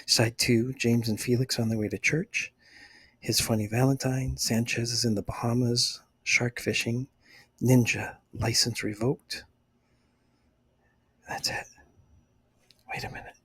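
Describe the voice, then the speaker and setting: A middle-aged man chatting casually for ASMR in a hushed, breathy, whispery voice with an unhurried manner. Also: medium pitch, little pitch movement. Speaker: ASMR video host. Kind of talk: ASMR, casual unscripted chat.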